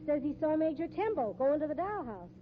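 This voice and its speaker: Brassy high-voiced sideshow woman. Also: very animated, fast talker. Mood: scornful, insistent. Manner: brassy, scornful, slangy. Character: bold, shrewd, defiant circus sideshow woman. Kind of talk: 1950s radio mystery acting. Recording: muffled, noisy old radio recording.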